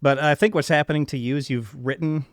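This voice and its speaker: A man's voice: on the high side, fairly even intonation, quick pace, dry recording, studio quality. A man hosting a casual interview, enthusiastic and affirming, with a joking touch. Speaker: podcast host, interviewer.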